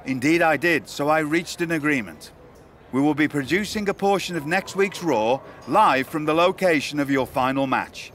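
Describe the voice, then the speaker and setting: A dry, formal tour organizer. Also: male voice, fairly high pitch, lively intonation, moderate pace, a bit bright, a little hiss or hum. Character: dry, wry male wrestling tour organizer.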